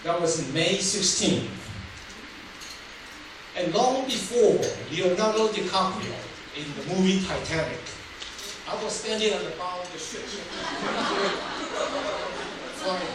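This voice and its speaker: A man's voice: high-pitched, slow, long pauses. An older man from Taiwan, Taiwanese his first language, telling his life story as a humorous public speech. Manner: humorous, laughs at his own jokes. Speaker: public speaker. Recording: roomy hall from far off, noisy.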